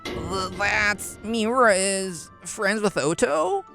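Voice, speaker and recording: A man performs a jealous, conceited young male office worker from a manga story. The voice is bright and tense and swings widely in pitch. He sounds smug and easily offended, with light music behind. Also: expressive, slow pace, vocal fry, heavily acted. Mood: indignant, flustered. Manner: indignant, smug, stammering when flustered.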